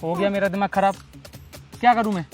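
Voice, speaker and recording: A man's voice: high voice, fairly quick, soft background music, natural voice. A man acting a clingy, pushy young lovesick boyfriend in an amateur melodramatic skit. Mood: accusing. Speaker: actor in a moral-story video.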